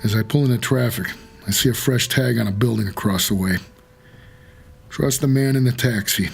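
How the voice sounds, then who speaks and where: An actor playing a doomed, haunted taxi driver for the dead, narrating an audio drama in a world-weary, measured noir manner. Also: male voice, mid-range voice, gravelly, slight background noise, close to an everyday voice. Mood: brooding.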